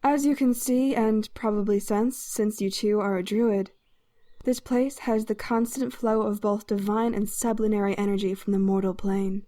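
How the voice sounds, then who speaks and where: Druid escort, actress: soft dark tone, serene, gracious, fantasy audio drama. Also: female voice, mid-range voice, steady tone, moderate pace, lightly acted. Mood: calm, gracious.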